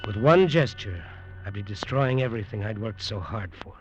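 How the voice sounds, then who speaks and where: A man's voice: fairly low pitch, expressive, moderate pace, dull audio, close to an everyday voice. A man acting in an old radio suspense drama as a desperate, greedy resort builder who narrates his own downfall in an intense, hard-boiled way. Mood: tense, brooding. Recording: old radio recording with music.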